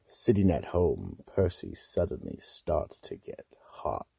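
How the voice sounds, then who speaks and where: Very deep, raspy male mystery narrator. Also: very animated, dark tone, no echo, clean studio sound. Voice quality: raspy, gravelly. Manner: dramatic, deliberate. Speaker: narrator and announcer. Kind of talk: ominous radio mystery narration.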